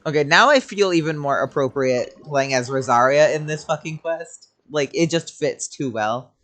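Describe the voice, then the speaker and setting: A gaming streamer giving casual, excitable, sweary reactions during a playthrough. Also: medium pitch, moderate pace.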